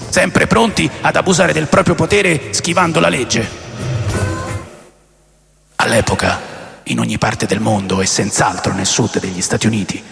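An aging rock rebel in a radio drama, looking back on a wild life. His medium-pitched voice is full of gravel and creak. He tells it deliberately, with theatrical pauses, in a long reverb with music around him. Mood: scornful, measured. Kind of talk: dramatic first-person memoir narration.

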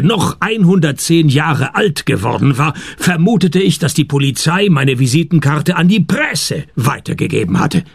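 An actor playing a sardonic, world-weary private detective who narrates his cases in a dry, deadpan way, in a radio crime comedy. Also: fairly high pitch, vocal fry, slightly rough, dry recording, exaggerated voice. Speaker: lead character and narrator.

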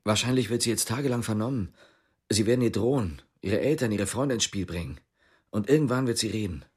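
An earnest, uneasy male police officer in a radio drama. He speaks naturalistically in a fairly low voice with a husky rasp. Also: expressive, a little fast. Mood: earnest, subdued.

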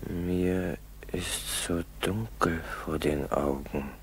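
A determined wounded fighter pilot, earnest and low in voice. It is 1960s radio play acting on an old tape with a faint hiss. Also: male voice, fairly even intonation, very slow. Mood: pained, weak.

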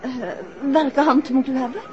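An actress playing a detective's inquisitive, affectionate wife in a 1960s radio drama, well-spoken and theatrical. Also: female voice, high voice, steady tone, moderate pace, lightly acted. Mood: politely probing. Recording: dull old radio recording, crowd noise.

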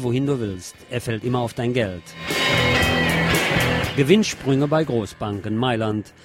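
A man presents news and commentary on the radio. His voice sits at a medium pitch and he adds dry, sardonic remarks as he reads. Faint music plays along with his voice. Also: darkish tone.

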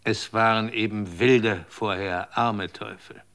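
A bright, incredulous questioner. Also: male voice, lowish voice, fairly even intonation, slow pace. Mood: scornful. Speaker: character in a radio drama. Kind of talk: radio drama acting.

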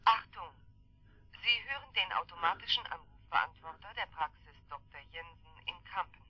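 An actress playing a formal telephone answering machine announcer in standard German, heard through a phone filter. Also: female voice, fairly low pitch, moderate pace, slightly rough. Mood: formal, neutral. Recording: dull band-limited telephone answering machine.

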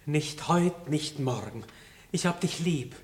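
A man's voice: on the high side, lively intonation, takes it slowly. An ardent young nobleman. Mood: pleading. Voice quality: tender, pleading. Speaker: Octavian in radio play. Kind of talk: 1960s radio drama acting.

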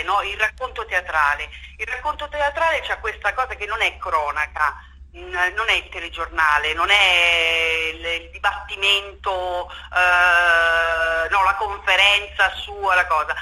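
A woman's voice: mid-range voice, sharp tone, rough recording. A woman speaking spontaneously and emphatically as an interview guest, repeating words and tagging phrases with "no?". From Marche, Italy. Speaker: theatre director, interview guest.

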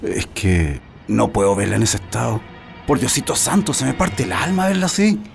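Concerned, hesitant male companion, creaky voice, radio play, light music behind. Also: medium pitch, sing-song, moderate pace. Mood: worried, soothing. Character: worried, gentle man soothing a distressed woman. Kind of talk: radio drama acting.

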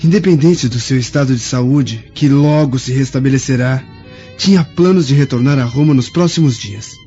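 A hopeful, devoted Roman soldier in formal Brazilian radio-drama style. The voice is soft-edged and warm. Faint music sits under a dull recording. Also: male voice, medium pitch, wildly swooping pitch, normal speed. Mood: tender, reassuring. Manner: tender, formal.

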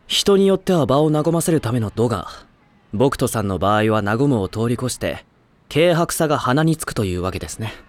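An actor playing a calm, polite teenage boy with a dry, teasing wit in an anime drama CD. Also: medium pitch, fairly quick, dry recording, close to an everyday voice. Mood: deadpan.

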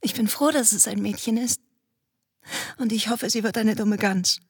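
An actress playing a flighty, romantic yet cynical young society wife in a radio play, with a theatrical, dreamy manner. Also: female voice, a little high, darkish tone, breathy edge, dry recording. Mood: bitter. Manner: theatrical, dreamy, drawn-out, brittle. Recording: faint noise behind studio radio drama.

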